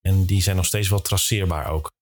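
A man's voice: low-pitched, fairly even intonation, normal speed. A man hosting a casual interview, asking questions in an informal, tentative way. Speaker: podcast host, interviewer.

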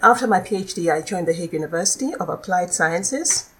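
A middle-aged woman with a Nigerian accent, a law lecturer explaining her work in a measured, articulate way as a podcast guest. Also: on the low side, animated, a bit bright, rough recording. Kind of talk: podcast interview.

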